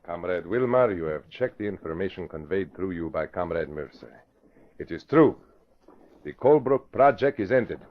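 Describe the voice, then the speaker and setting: An actor playing a coolly commanding foreign diplomat and spymaster in old-time radio style. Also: male voice, deep voice, expressive, normal speed. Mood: grave, commanding. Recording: dull vintage radio recording.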